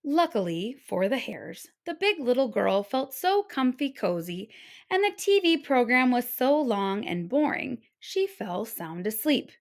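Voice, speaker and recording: Expressive, high-voiced woman storyteller. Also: measured pace. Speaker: storybook reader. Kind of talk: children's read-aloud narration.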